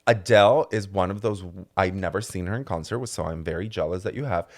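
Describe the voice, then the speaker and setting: Low-voiced sassy male host. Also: a little fast. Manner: gushing, sassy, emphatic. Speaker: podcast host. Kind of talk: casual interview chat.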